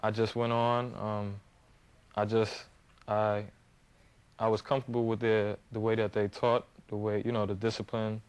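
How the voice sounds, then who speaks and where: A man in his twenties from Harlem, answering interview questions hesitantly, with many pauses and fillers. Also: lowish voice, level tone, clean tone. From Harlem, New York. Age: 20s. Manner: hesitant, many ums and you-knows, soft-spoken. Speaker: guest, young entrepreneur.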